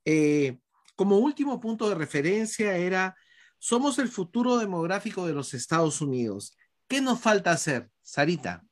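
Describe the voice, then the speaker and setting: A man's voice: high-pitched, very animated, normal speed. A man hosting a podcast panel over a video call, courteously thanking guests and steering the talk. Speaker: podcast host.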